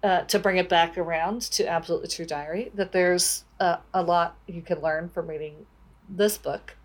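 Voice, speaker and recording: A woman with a slightly low voice speaks as a co-host on a book discussion podcast. Her phrasing is thoughtful and a little hesitant, in an informal conversational style. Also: steady tone, moderate pace.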